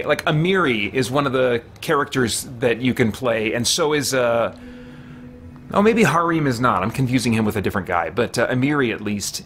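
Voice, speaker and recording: A middle-aged man streams a game in a chatty, musing way. His voice sits at an average male pitch, close on the mic in a small office. Game music plays faintly behind him as he thinks aloud. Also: a bit bright. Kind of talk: casual live gameplay commentary with chat. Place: small home office, indoors.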